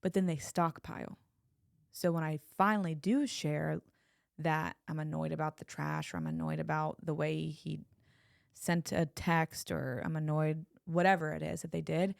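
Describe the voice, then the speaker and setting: Woman cohost, low gravelly voice, thoughtful advice, dry room. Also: fairly even intonation, moderate pace, dry recording. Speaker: podcast co-host. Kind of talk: casual advice chat.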